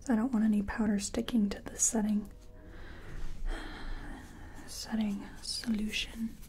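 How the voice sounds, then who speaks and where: A woman doing a soft-spoken ASMR roleplay as a makeup artist, whispery and breathy, murmuring hesitantly as if half to herself. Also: medium pitch, level tone, measured pace, slight background noise.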